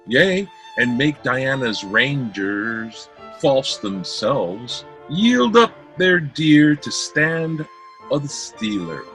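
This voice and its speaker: A man reading the part of a boorish, spoiled young princely suitor in an amateur Shakespeare play, declaiming archaic verse in drawn-out phrases. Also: medium pitch, sharp tone, exaggerated voice. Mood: scheming. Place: remote online video-call reading.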